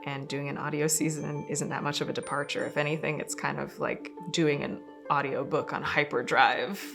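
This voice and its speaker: A woman's voice: deep voice, little pitch movement, normal speed, vocal fry. A woman speaking casually in an interview as a theater company staff member. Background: music.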